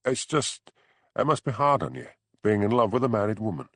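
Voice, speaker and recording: A man's voice: fairly low pitch, big pitch swings, a little fast, no echo, clean studio sound. A man playing a shamefaced, anxious Scottish village father in an audiobook. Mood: awkward. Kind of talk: audiobook character dialogue.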